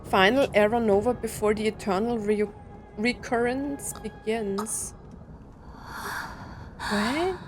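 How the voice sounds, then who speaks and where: A woman's voice: on the high side, takes it slowly, pauses often, faint music behind. A woman game streamer giving casual, musing commentary in short reactions and reading subtitles aloud.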